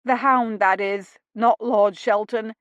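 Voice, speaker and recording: A gruff older Scottish stable master, loud and blunt with a fond streak, as a woman reads him aloud. He talks in broad dialect, close and dry with no room around him. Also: fairly high pitch, steady tone, slow pace, dry recording, dull audio. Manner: blunt, folksy, dialect-heavy speech.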